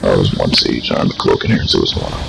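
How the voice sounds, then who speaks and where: A man hosting a casual gaming workshop, talking while he plays, halting with many fillers and restarts. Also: rumbling low, creaky, background noise. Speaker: workshop host.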